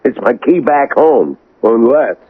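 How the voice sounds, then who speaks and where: An actor playing a nervous, suspicious small-time crook in a radio drama, grumbling, stammering and slangy. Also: male voice, medium pitch, expressive, unhurried, slightly rough. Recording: tinny, phone-like old radio broadcast.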